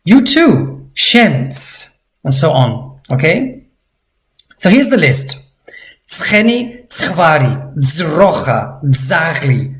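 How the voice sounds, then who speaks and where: A man teaching a language lesson, explaining vocabulary patiently and repeating words slowly for practice. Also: a little high, rough recording.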